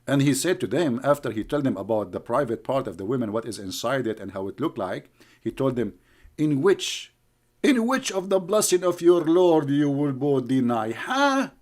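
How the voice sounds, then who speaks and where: A man's voice: medium pitch, big pitch swings, normal speed, a little mellow. A man with an Arabic accent who speaks Arabic as his first language. He gives combative commentary in broken English, sarcastic and mocking. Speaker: online religious debater.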